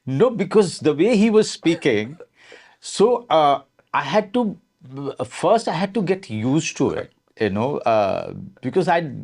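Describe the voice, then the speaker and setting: A man's voice: fairly high pitch, sing-song, unhurried, a little mellow, husky. A man, an actor in a casual interview, telling a story with amused laughter.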